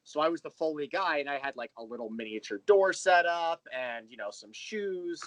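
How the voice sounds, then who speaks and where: A man's voice: fairly high pitch, expressive, normal speed, no room sound. An American man being interviewed, telling personal anecdotes in a wry, wordy, informal way. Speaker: interview guest, audio drama creator. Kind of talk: casual chat, personal anecdotes.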